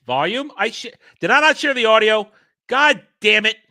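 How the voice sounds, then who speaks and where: A man hosting a casual livestream, rambling and excitable, restarting his sentences often. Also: high voice, moderate pace, no echo, clean studio sound. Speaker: livestream host.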